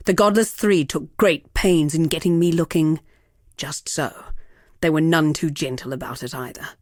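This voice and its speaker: An actress performs a villainous sorceress for a fantasy video game. Her womanly voice sits fairly low and is recorded dry, with no room around it. She speaks deliberately and theatrically, haughty and seductive, savouring each taunt with cruel poise. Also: no echo, natural voice. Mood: wry, bitter. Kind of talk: dramatic video game character acting.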